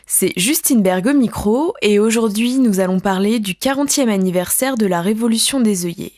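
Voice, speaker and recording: A woman hosting a radio magazine, reading a scripted introduction and then asking interview questions in a clear, measured way. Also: mid-range voice, a little mellow, no echo, studio quality. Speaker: radio show host.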